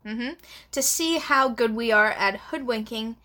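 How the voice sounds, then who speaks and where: A woman's voice: fairly high pitch, a little slow, bright, forceful tone. A woman who grew up in Dubai, co-hosting a casual podcast, wry and self-deprecating, swearing casually. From grew up in Dubai, UAE. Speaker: podcast co-host.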